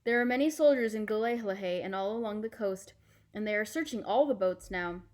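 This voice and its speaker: A woman playing a calm, reassuring fisherman uncle in a storybook, with a measured, explanatory manner. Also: medium pitch, lightly acted. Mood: matter-of-fact.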